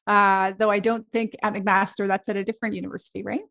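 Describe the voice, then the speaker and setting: A woman's voice: medium pitch, level tone, fast talker, vocal fry, a bit bright. A woman hosting an interview podcast, reading a scripted intro and then chatting with frequent restarts. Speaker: podcast host.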